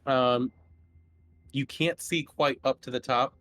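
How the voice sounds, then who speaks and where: A man acting as game master, describing scenes for a tabletop horror game in a halting, improvised way. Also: medium pitch, fairly even intonation, a bit bright.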